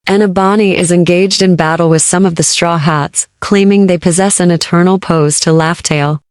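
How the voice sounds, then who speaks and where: Woman as a lower-voiced, dutiful pirate gunner. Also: fairly even intonation, moderate pace, darkish tone, dry recording, clean studio sound, lightly acted. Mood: dutiful, alert. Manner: formal, dutiful. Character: loyal female pirate gunner reporting to her captain. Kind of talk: audio drama acting.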